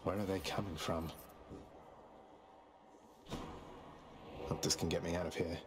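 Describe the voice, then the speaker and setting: A man's voice: deep voice, steady tone, quick pace, natural voice. A man voicing a wry, earnest young rebel prince of the underworld in a video game, with a dry, understated manner. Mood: muttering, determined. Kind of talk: video game character acting.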